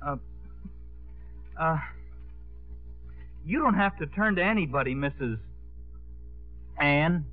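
A man acting a bashful, slow-witted, lovesick young man in a 1950s radio comedy, with a halting, eager manner. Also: on the high side, expressive. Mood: giddy, smitten. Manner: halting, hesitant, drawling. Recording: muffled 1950s radio broadcast, music behind.

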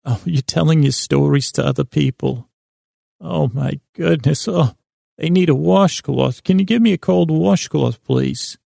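A man's voice: on the high side, unhurried, gravelly, warm tone, no room sound, studio quality, exaggerated voice. A man voicing a fussy, indignant elderly grandmother who nags and scolds in melodramatic questions. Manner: nagging, rhetorical questioning, melodramatic.

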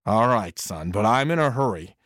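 A man's voice: fairly low pitch, lively intonation, moderate pace, fairly bright tone, no echo. Man doing a strict busy dad, firm and kinda dismissive, dry close sound. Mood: stern. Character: stern busy father.

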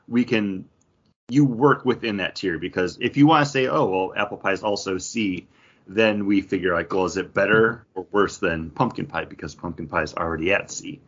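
A man's voice: low voice, moderate pace, a bit bright, lo-fi sound. A man hosting a podcast, chatting casually with the group. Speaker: podcast host.

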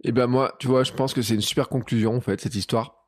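A man hosting a casual podcast conversation, chatty and animated in his storytelling. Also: mid-range voice, fast talker, no room sound. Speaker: podcast host.

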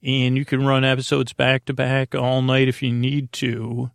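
A man hosting a bedtime podcast in a rambling monologue, halting and meandering as he stammers, droning along and interrupting himself. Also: completely flat delivery, vocal fry, smooth, dry recording, studio quality. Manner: halting, meandering, self-interrupting, often stammers over words; droning, low-stakes delivery. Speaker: podcast host.

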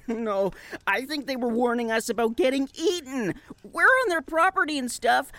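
A woman's voice: high voice, animated, normal speed, sharp tone, dry recording. A woman playing a timid, polite young male henchman in an audio drama, hesitant and awkward.

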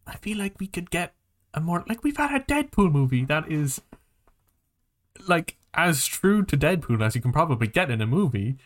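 A man co-hosting a podcast, chatting casually about movies and games in a rambling, emphatic, sweary way. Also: fairly high pitch. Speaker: podcast co-host. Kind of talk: casual movie and game chat.

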